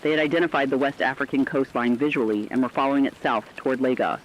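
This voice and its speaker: A man's voice: mid-range voice, steady tone, fast, a little hiss or hum, lightly acted. A man playing a somber, humane radio correspondent, telling survivors' stories in a reflective, scripted report. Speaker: radio broadcaster. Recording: dull, lo-fi radio sound.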